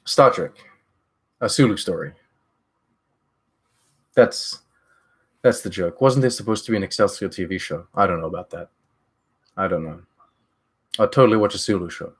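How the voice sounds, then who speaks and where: A young man chatting casually on a live stream from a small room, rambling loosely with animated gestures, through a headset mic. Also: deep voice, moderate pace, pauses often, husky. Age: young adult.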